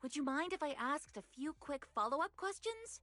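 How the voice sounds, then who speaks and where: An eager young woman shopkeeper from an anime game. Her voice is high and very lively in pitch, with a polite, apologetic customer-service manner. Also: normal speed, dry recording.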